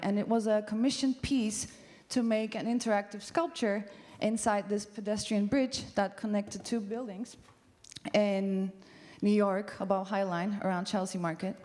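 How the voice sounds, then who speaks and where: A woman's voice: mid-range voice, warm tone. Turkish woman presenting on a festival stage. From Turkey. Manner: casual, with frequent um and uh fillers. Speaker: studio co-founder giving a festival talk. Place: large festival venue stage.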